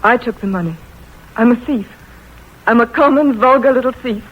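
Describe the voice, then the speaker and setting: A defiant young niece. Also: female voice, on the high side, moderate pace, husky. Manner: tense, defiant. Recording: tinny old radio recording.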